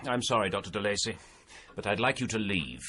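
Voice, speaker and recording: An actor playing a composed, skeptical gentleman scholar who speaks firmly and protectively in a period radio drama. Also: on the low side, expressive, brisk, slightly rough, full character voice. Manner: firm, clipped, protective.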